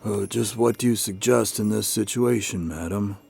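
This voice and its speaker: A man's voice: lowish voice, normal speed, vocal fry, darkish tone, husky, exaggerated voice. A man playing a skeptical, hesitant and politely stiff husband in a radio drama. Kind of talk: old-time radio drama acting.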